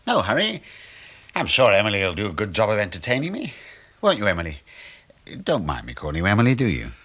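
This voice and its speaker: An actor in a radio play voicing a cheeky, breezy middle-aged British suburban husband who teases and muses like a know-all. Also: male voice, on the low side, huge pitch swings, quick pace, a bit bright, slightly rough, full character voice. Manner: breezy, teasing, musing.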